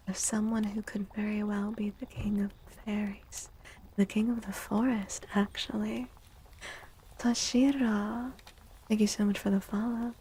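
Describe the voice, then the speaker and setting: Slow, breathy soft-spoken ASMR woman. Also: mid-range voice, slight background noise, rough recording. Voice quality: breathy, often whispery. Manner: gentle, soothing, unhurried. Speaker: livestreamer reading tarot for viewers.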